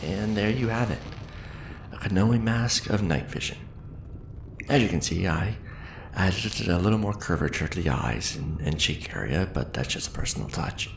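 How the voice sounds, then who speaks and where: Very low male voice, soft ASMR delivery, patient veteran craftsman instructing, measured, roomy echo, noisy background. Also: animated, vocal fry. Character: veteran mask maker patiently training a new apprentice. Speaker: ASMR roleplayer playing an instructor.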